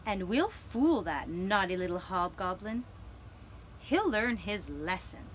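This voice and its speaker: A woman's voice: mid-range voice, big pitch swings, takes it slowly, a little hiss or hum, voice pushed down, poor audio. A woman voicing a male villager in a puppet-show story, patiently instructing his neighbours step by step. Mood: scheming.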